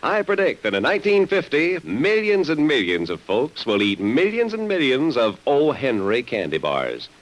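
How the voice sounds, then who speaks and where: A man's voice: mid-range voice, very animated, moderate pace, few pauses, rough recording. A man delivering a 1950s radio commercial in emphatic, folksy sales patter.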